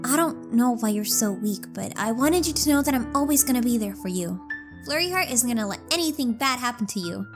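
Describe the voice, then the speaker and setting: A woman's voice: a little high, animated, a little fast, music in the background, natural voice. A woman voicing an eager, earnest little pony princess, a childlike big sister, in a cartoon-style audio drama. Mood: tender, earnest. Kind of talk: animated fan audio drama.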